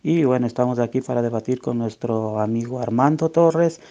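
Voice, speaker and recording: A man's voice: mid-range voice, moderate pace, warm tone, highs cut off. An Ecuadorian man giving a religious debate exposition, emphatic and didactic, asking rhetorical questions as he cites scripture.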